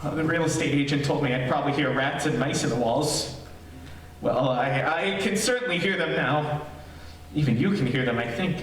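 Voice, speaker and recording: A man playing a nervous radio reporter in a haunted house, halting and confiding in his listeners, in old-time radio horror style. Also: on the high side, close to an everyday voice. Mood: hushed, uneasy. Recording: echoey distant stage recording, faint noise.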